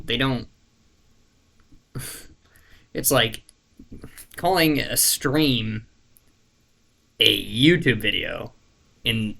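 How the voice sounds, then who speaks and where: Laid-back vlogger, male, gravelly fry in his voice, mid pitch, rambles and gropes for words. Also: a bit bright. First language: not French. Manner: rambling, searching for words, frequent fillers and restarts; laid-back, self-deprecating, swears casually. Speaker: YouTuber. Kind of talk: casual monologue to camera.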